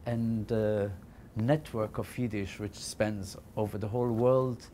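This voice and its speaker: A middle-aged man with a warm, mellow voice recounts his own story. He talks reflectively and haltingly, often doubling back on words. A faint low rumble hums beneath his voice. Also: steady tone. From Belgium. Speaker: interviewee, founder of a Yiddish library. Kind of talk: personal testimony to camera.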